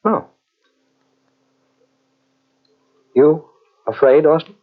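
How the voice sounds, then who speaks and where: An actor playing a brave man in an old-time radio drama, speaking in an earnest, controlled, halting way. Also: fairly high pitch. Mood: wary, uneasy. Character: brave male companion trapped in a tomb, quietly reassuring. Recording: dull, muddy old cassette radio recording.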